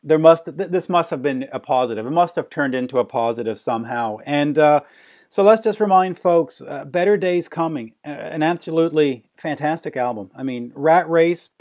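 Chatty man interviewer, slightly high voice. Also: moderate pace, darkish tone. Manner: chatty, leading questions, repeats words. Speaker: interviewer, rock journalist. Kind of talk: casual interview.

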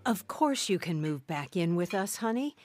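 An actress playing a caring, devout middle-aged mother in an audio drama, gentle and earnest. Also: fairly low pitch, big pitch swings, moderate pace, faint music behind.

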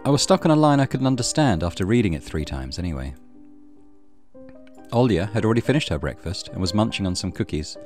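A man's voice: lowish voice, sing-song, fast talker, a little mellow, faint music behind. A man hosting a let's play, reading story narration aloud with casual commentary.

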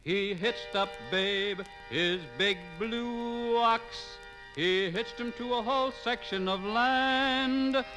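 Casting: folksy tall-tale ballad singer, high-voiced, unhurried, musical backing. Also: male voice, music in the background, low-quality recording. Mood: playful, folksy. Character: folksy singing balladeer spinning lumberjack tall tales.

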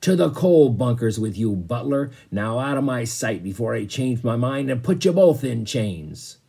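A man voicing a gruff, blustering old sea captain in a storybook, roaring out salty orders. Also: medium pitch, sing-song, normal speed, warm tone, pitched above the performer's own voice, heavily acted. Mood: gruff, angry. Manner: roaring, bullying, salty exclamations.

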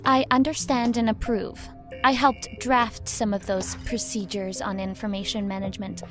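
A woman playing a brisk, guarded corporate spokeswoman, clipped and direct in naturalistic audio drama style. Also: mid-range voice, little pitch movement, music in the background, close to an everyday voice. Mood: businesslike, guarded.

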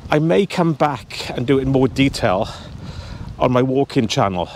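A man's voice: medium pitch, animated, moderate pace, a little hiss or hum. A man in his fifties chatting to a handheld camera as he walks outdoors on a cold hillside, talking straight to his viewers. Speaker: travel vlogger. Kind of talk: casual vlog walk-and-talk. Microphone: handheld camera at arm's length.

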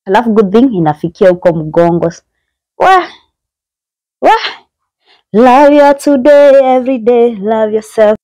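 A woman's voice: a little high, animated, a little slow, long pauses, mellow, dry recording. A young woman with a Kenyan accent gives a chatty, admiring tour of a small room, recorded on a handheld phone. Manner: chatty and admiring, mixing English with Swahili slang. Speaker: vlogger giving a house tour. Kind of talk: casual house-tour narration. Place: small indoor bedsitter room.

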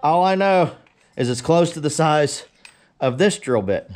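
A man's voice: on the high side, lively intonation, unhurried. A man giving a casual, unscripted how-to demonstration in a folksy, plain-spoken way, talking to viewers as a blacksmith at work. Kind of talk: casual unscripted workshop tutorial talk. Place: cluttered forge workshop. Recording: noisy workshop with power tools and clatter.

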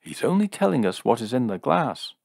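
A man voicing a calm, gently reproving young woman with teasing wit in an audiobook, soft-spoken and matter-of-fact. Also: lowish voice, moderate pace, no room sound, studio quality.

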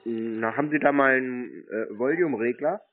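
A man's voice: mid-range voice, a little fast, no room sound. A man chatting casually on the phone as a prankster, teasing people with feigned earnestness. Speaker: prank caller answering scam calls. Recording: narrow, tinny phone-like sound.

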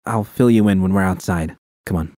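A man voicing a calm, practical woman friend in a matter-of-fact way, in an audiobook reading of a fan story. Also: low-pitched, fairly quick, no room sound, studio quality, lightly acted.

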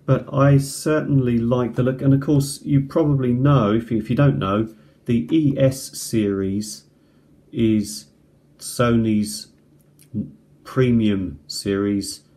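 A middle-aged man giving a casual, unscripted hands-on product review in an unhurried, rambling way. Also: medium pitch, steady tone, mellow. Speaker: amateur cassette tape reviewer. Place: indoors at a kitchen table. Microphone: tablet's built-in mic at close range. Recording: noisy home tablet recording with clicks.

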